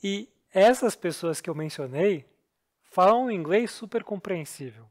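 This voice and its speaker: Brazilian man, podcast co-host, high-pitched, earnest self-deprecating storyteller. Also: animated, a little slow, darkish tone. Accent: Brazilian Portuguese. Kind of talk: casual storytelling chat.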